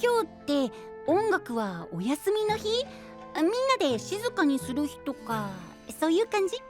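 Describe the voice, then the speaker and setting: Very high-pitched innocent young boy. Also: sing-song, a little slow, over music, full character voice. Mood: surprised, eager. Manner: childlike, soft, earnest. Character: sweet, innocent, eager young boy knight. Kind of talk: anime-style audio drama acting.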